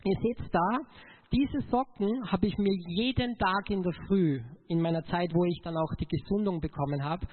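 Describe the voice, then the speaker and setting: High-pitched Austrian man preaching, phone-like sound. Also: moderate pace. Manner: emotional, emphatic, addresses listeners directly. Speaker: motivational speaker giving a testimony.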